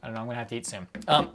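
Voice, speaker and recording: A young man chatting casually on a live stream, rambling quickly and breaking off his own sentences as he answers viewers. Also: medium pitch, fairly bright tone. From United States. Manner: rambling, quick, self-interrupting with fillers and laughs.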